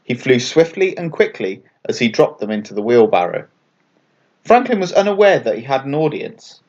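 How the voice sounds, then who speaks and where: A man's voice: mid-range voice, sing-song, normal speed, darkish tone, muffled recording. A man narrating a scripted children's bedtime story as a storyteller. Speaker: author and storyteller.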